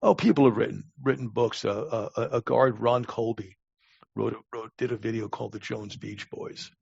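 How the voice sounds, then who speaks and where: A man in his sixties with a gravelly voice, telling stories casually as a podcast guest in a halting, wry way with repeated words. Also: mid-range voice, darkish tone, no echo. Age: 60s. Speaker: podcast guest, longtime lifeguard. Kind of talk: casual interview storytelling. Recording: dull, lo-fi close recording.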